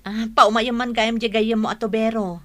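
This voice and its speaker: A woman's voice: fairly high pitch, flat delivery, moderate pace, lightly acted. A woman playing a house-proud, evasive wife and mother in a radio drama, with a coaxing manner and an Ilocano accent.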